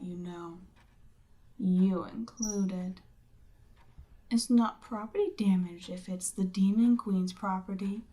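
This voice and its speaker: A woman voicing a seductive, domineering Demon Queen in an ASMR roleplay, breathy and often near a whisper, with a smug, coaxing, commanding manner. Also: medium pitch, warm tone, lightly acted.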